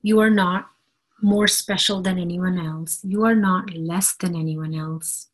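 A woman giving an inspirational spiritual talk in an earnest, deliberate way, phrase by phrase, with a husky, breathy voice. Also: lowish voice. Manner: deliberate, earnest, phrase-by-phrase delivery with emphatic repetition.

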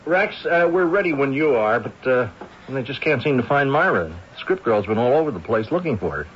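An actor playing a flustered film crew member who stammers with hesitant fillers, in a 1970s radio drama. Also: male voice, medium pitch, sing-song, a little fast, natural voice. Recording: dull old radio broadcast with faint hiss.

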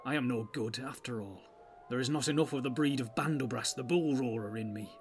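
A man's voice: mid-range voice, brisk, a little hiss or hum, close to an everyday voice. A man voicing a small, timid young male halfling in a fantasy audiobook, in a plaintive, fretful manner. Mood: wretched.

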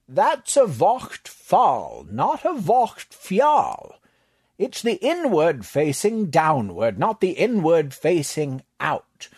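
A man voicing a raspy male monster librarian who breaks into a shrill cackle as he talks, in a sly, gloating way. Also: wildly swooping pitch, slow, darkish tone, no echo, studio quality, heavily acted.

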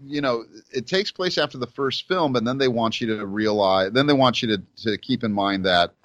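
A man's voice: medium pitch, normal speed, fairly bright tone, no room sound, dull audio. A man co-hosting a casual geek podcast, rambling with many restarts and slipping into playful impressions. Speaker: podcast co-host. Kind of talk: casual chat.

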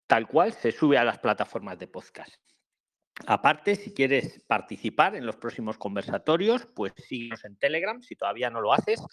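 A man's voice: mid-range voice, a bit bright. Spanish man hosting a live chat. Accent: Spanish, Madrid. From Spain. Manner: friendly, explanatory, emphatic, with frequent "¿vale?". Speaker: podcast host.

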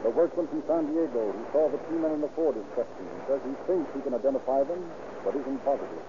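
An actor playing a formal, earnest narrator of a 1930s radio crime drama. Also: male voice, mid-range voice, expressive, fast, close to an everyday voice. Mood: earnest, measured. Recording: muffled, noisy old radio recording.

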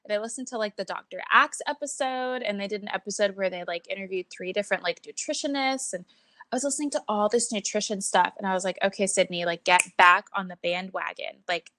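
A woman's voice: mid-range voice, quick pace, sharp tone. A young woman co-hosting a podcast, chatting casually in a breezy way full of "like". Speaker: podcast co-host. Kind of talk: casual chat.

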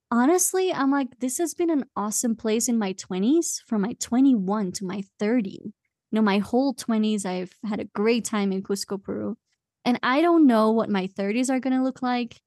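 A woman's voice: on the high side, darkish tone, dry recording, clean studio sound. A woman in her late twenties from Belgium, chatting casually as an interview guest and laughing often. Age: late 20s.

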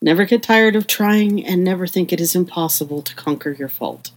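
Actress as wise, kindly mother of grown girls, lowish voice, earnest and comforting, for a period audio drama. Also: fairly quick, lightly acted. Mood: gentle reassurance. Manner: soothing, earnest. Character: gentle, wise middle-aged mother counselling her daughters. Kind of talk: audio play acting with narration.

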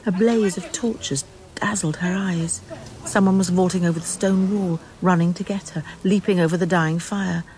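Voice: mid-range voice, steady tone, moderate pace, a little mellow, rough recording. Dramatic narrator with mid-range voice.

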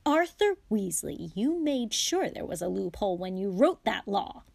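A woman's voice: medium pitch, sing-song, normal speed, vocal fry, breathy edge, no room sound, full character voice. Furious, scolding witch mother voiced by a reader. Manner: scolding, shouting, sarcastic. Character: furious, sharp-tongued middle-aged wizard mother and wife. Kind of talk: audiobook character voice.

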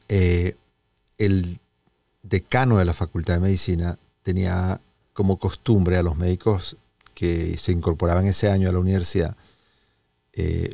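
Deep, creaky-voiced Venezuelan man hosting. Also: dark tone, dry recording, far from the mic. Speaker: host of a health and wellness interview show. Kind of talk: relaxed conversational introduction and storytelling.

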